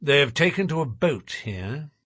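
A man voicing a shrewd detective in an audiobook, with a smooth, measured, politely formal way of coaxing. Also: a little high, sing-song, a bit bright, no room sound, full character voice. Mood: grave. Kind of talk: audiobook character voice.

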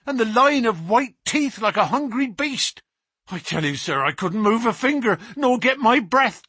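A man voicing a terrified male police constable who speaks breathlessly in a high, rising voice. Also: a bit bright, slightly rough, no echo, exaggerated voice.

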